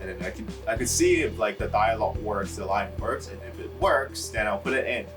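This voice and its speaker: Young man, lowish voice, loose and chatty, heard from a distance. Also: brisk. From Hong Kong. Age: young adult. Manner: relaxed, rambling, full of "like" and "you know". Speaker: filmmaker interviewee. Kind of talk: casual interview answers. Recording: mic at a distance, music underneath. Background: background music.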